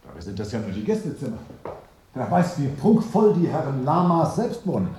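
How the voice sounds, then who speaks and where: A man playing an eager, suspicious English vice-consul in a German radio drama, speaking in an excitable, pointed way. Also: medium pitch, brisk, reverberant, slight background noise, lightly acted. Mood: suspicious, sardonic.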